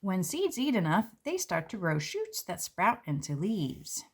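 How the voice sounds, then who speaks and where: A gentle woman author reads her picture book aloud and talks through its pages. Her voice moves up and down in a lively way. A faint hiss sits under the close recording. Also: medium pitch, unhurried. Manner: gentle, explanatory, with light fillers. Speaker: children's book author reading her own book. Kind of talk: read-aloud and book walkthrough. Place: indoors at a table.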